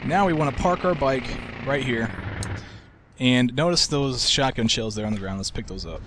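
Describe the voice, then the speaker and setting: A man giving relaxed, soft-spoken commentary while playing through a game level. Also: mid-range voice, moderate pace, background noise. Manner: relaxed, soft-spoken, self-described soothing voice. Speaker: walkthrough gamer.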